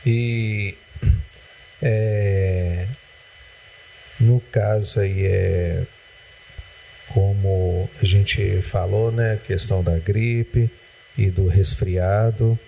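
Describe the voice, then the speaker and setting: A man's voice: low-pitched, steady tone, extremely slow, gravelly, pauses often, dark tone, a little hiss or hum, low-quality recording. Hesitant Brazilian male TV host. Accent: Brazilian Portuguese. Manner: rambling, hesitant, relies on "né". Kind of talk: interviewing a guest on a community TV health segment. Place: TV studio.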